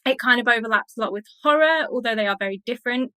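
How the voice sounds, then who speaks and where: A woman with a fairly high, very bright voice talks as a scholarly interview guest. She explains in a chatty, colloquial style, piling up loose lists. The recording is dry. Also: quick pace, no echo. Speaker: academic guest, literature researcher. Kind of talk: informal explanatory interview talk.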